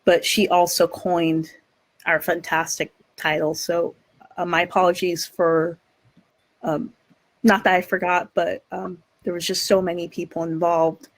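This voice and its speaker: A woman moderating a live online discussion, speaking in a halting but effusive way. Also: fairly low pitch, flat delivery, low-quality recording. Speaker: event moderator.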